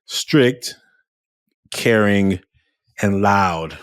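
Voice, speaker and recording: Deep-voiced man hosting a casual interview. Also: very slow, clean tone, dry recording, clean studio sound. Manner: animated, restarts often, loud voice. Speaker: podcast host.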